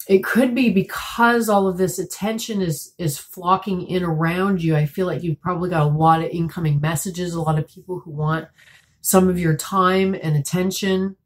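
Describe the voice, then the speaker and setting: A woman in her thirties giving a tarot reading to camera at home, a bit congested and raspy from a cold, talking in a soft, musing way with many fillers. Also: lowish voice. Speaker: tarot reader. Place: small room at home, indoors.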